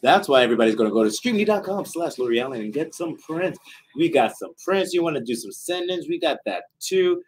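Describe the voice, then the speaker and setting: A man's voice: on the high side, brisk. Playful young man hosting a stream. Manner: playful, joking, excitable. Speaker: stream host. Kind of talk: casual livestream hosting. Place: small streaming studio.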